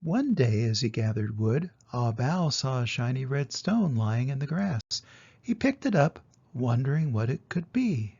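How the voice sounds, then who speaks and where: Older male storyteller for kids' picture books, creaky, mellow tone, slightly dull recording. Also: mid-range voice, sing-song, unhurried. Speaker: storyteller reading to children. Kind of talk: scripted children's storybook narration.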